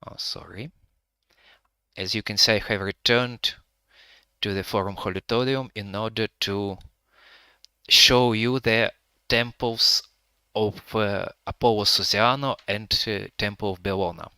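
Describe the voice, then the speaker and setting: A man with a Russian-Ukrainian accent leads a live virtual tour in slow, hesitant English, often pausing and filling gaps as he searches for words. His voice is bright and rather low, recorded close and dry with no room sound. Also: fairly even intonation, clean tone, no echo. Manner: halting non-native English with frequent fillers.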